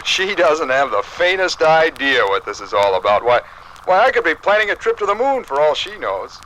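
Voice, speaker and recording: An excitable American husband and amateur inventor in vintage radio style. He sounds bright and animated, fairly high for a man. The old recording carries some noise. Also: normal speed, rough recording. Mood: gleeful. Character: eager, excitable amateur telephone tinkerer, a husband. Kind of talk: 1950s radio acting.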